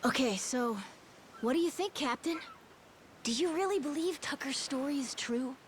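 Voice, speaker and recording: A woman's voice: sits high, moderate pace, a little hiss or hum, close to an everyday voice. A woman voicing an eager, curious young kid of a crew in a video game, earnest and informal. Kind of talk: video game cutscene acting.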